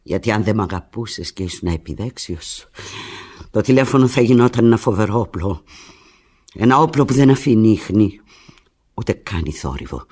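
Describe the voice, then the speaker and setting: An actress playing a desperate, lovelorn woman on the telephone to the lover who left her, in a theatrical radio drama monologue, halting and emotional with a breathy, husky voice. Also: rumbling low, lively intonation, full character voice. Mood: bittersweet. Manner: halting, emotional, swinging from hushed murmurs to urgent cries.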